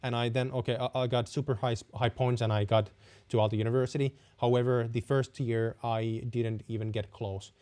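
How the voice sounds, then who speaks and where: Mellow-voiced man giving frank advice. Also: medium pitch, little pitch movement, a little fast. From Finland. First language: Finnish. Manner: frank advice with many fillers and restarts. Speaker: host answering viewer questions. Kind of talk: live-stream Q&A.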